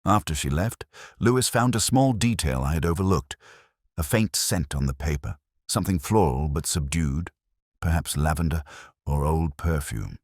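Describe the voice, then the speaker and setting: A man playing a weary, pensive detective inspector who narrates his case in a measured, literary, brooding way. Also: very deep voice, expressive, no echo, studio quality. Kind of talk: scripted first-person mystery narration.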